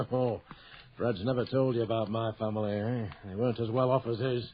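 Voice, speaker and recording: An actor playing a weathered middle-aged sergeant major home from India, hesitant and wistful, in a period radio drama. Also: male voice, mid-range voice, fairly even intonation, moderate pace, a little hiss or hum, lightly acted. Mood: wistful, wry. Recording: dull lo-fi radio broadcast.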